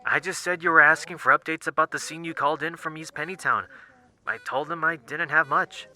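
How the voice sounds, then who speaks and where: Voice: mid-range voice, fairly even intonation, brisk, sharp tone, faint music behind. A performer playing a nervous, polite lab technician on a phone call in an audio drama, hesitant and apologetic. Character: nervous, eager, deferential young lab technician.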